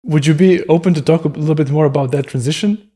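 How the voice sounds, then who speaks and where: A man hosting an interview, asking long, gentle questions padded with hedges and fillers. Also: fairly high pitch, quick pace, slightly rough. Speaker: podcast host.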